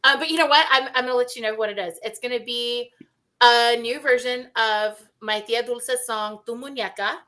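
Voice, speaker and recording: A woman's voice: fairly high pitch, moderate pace, bright, forceful tone. A woman co-hosting a casual radio show, talkative and playfully teasing. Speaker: radio co-host and singer.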